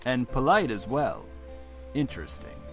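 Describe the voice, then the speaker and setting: A man playing a stern, cold businessman father in a visual novel, curt and measured. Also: medium pitch, sing-song, a bit bright, soft background music. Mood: cold, probing.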